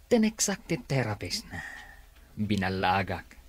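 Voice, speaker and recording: A gloating villain in a radio drama. His husky voice swings widely up and down as he schemes. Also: on the low side, wildly swooping pitch, takes it slowly, heavily acted. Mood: gloating, scheming. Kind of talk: Ilocano radio comedy-drama acting.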